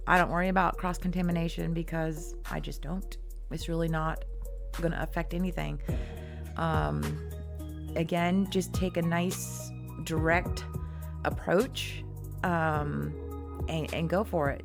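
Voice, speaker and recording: A hoarse woman with a gravelly, fry-laden voice talks through an art tutorial. She rambles casually, full of ums and false starts. Faint music plays underneath. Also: fairly low pitch. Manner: chatty, rambling, many ums and restarts. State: hoarse, losing her voice. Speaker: art teacher.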